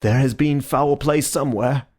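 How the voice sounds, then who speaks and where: A man voicing an indignant, forceful doctor who protests sharply. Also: medium pitch, fairly even intonation, normal speed, pitched above the performer's own voice, lightly acted. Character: indignant, fierce male doctor.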